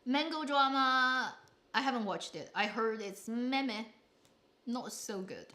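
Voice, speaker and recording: A woman's voice: on the high side, lively intonation, unhurried, sharp tone. A woman hosting a casual solo chat, giving blunt opinions with lots of restarts and trailing asides. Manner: opinionated, rambling with frequent restarts. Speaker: drama review host.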